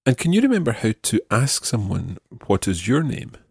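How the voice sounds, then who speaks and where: A man's voice: medium pitch, wildly swooping pitch, normal speed, vocal fry, a little mellow, husky, no room sound, clean studio sound. A man teaching a language lesson, patiently prompting and carefully repeating phrases. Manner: patient, instructive, carefully enunciated.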